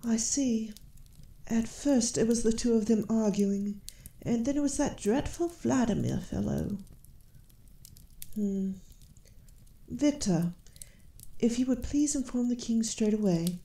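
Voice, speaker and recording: A woman playing a gracious, shrewd elf queen and mother in a fantasy audio roleplay, speaking softly in regal, measured phrases. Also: on the high side, lively intonation. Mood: gentle concern. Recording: roomy echo.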